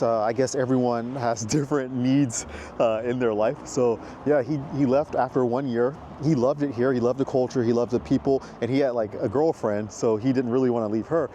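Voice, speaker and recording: An American man talks in a rambling, chatty way, full of fillers, while walking outdoors with a handheld camera. His voice is mellow and of middling pitch. Faint traffic hums in the background. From United States. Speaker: vlogger. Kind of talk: casual walking vlog. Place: outdoors in a city park walkway.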